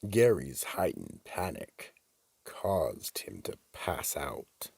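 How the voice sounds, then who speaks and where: A man narrating a radio mystery as an ominous storyteller, slow and dramatic, with a very deep, rough, gravelly voice. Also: very animated, a little mellow, dry recording, full character voice.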